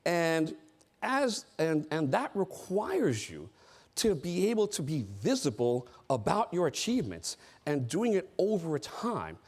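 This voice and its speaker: Man, middle-aged, seminar speaker, emphatic, repeats key phrases, slightly high voice, very lively pitch. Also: measured pace. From United States. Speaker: speaker on board service. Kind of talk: motivational seminar talk.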